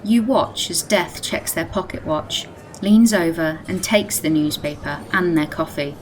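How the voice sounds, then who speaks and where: A woman's voice: on the low side, slight background noise, rough recording. A woman playing the composed narrator of a radio play, reading scripted present-tense storytelling.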